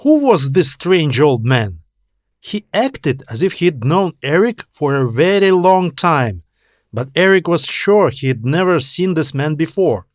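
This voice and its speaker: A man reading a scripted story as an audiobook narrator, in a measured, deliberate storytelling manner. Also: fairly high pitch, very animated, dry recording, clean studio sound. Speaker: author narrating own story.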